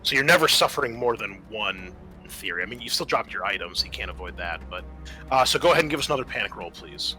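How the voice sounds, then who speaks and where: A man acting as game master, halting and informal as he explains rules and narrates a tabletop roleplaying game. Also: on the low side, lively intonation, sharp tone, poor audio. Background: music.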